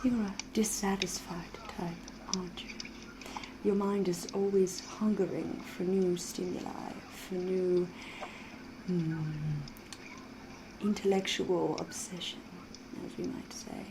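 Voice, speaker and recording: Woman acting as a seductive female vampire, ASMR roleplay, unhurried pace, soft half-whisper, elegant wording, sly and mysterious, medium pitch, clear background noise. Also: level tone. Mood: probing, teasing. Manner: slow, deliberate, genteel phrasing with teasing pauses.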